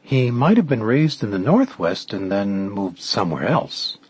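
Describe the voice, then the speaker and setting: Man voicing brisk young woman, husky and animated. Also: on the low side, full character voice. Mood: eager, curious. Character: brisk, eager young woman researcher reporting her findings. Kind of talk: audiobook character dialogue.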